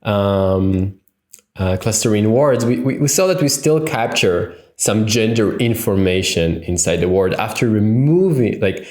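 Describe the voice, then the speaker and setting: A man's voice: lowish voice, lively intonation, measured pace, darkish tone. A man in his thirties with an Israeli accent, teaching a conference tutorial over a webcam, thinking aloud with many fillers and restarts. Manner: thinking aloud with frequent fillers and self-corrections. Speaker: tutorial instructor. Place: home room, indoors. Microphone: webcam on a video call. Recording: roomy echo.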